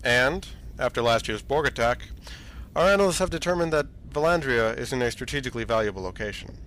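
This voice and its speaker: A man's voice: mid-range voice, close to an everyday voice. Casting: male actor as a commanding space admiral, medium register, businesslike and authoritative, over a background hum. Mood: measured, authoritative. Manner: formal, authoritative, measured briefing. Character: commanding senior space fleet admiral, brisk and matter-of-fact. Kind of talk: scripted science fiction audio drama acting. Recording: steady background ambient noise.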